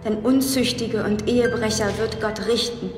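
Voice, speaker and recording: An actress playing a fervent young novice nun in a TV drama, solemnly reciting as if in prayer. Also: female voice, a little high, steady tone, normal speed, natural voice. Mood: solemn, stern. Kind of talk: TV drama acting. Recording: echoey church reverb, music behind.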